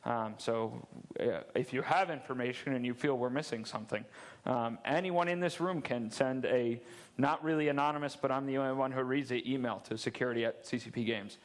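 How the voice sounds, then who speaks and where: A man's voice: medium pitch, fairly quick. A man in his thirties fielding audience questions at a convention talk on a headset mic, candid and joking, often restarting his words. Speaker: security presenter at a game convention. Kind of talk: conference Q&A answers. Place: large dark convention stage.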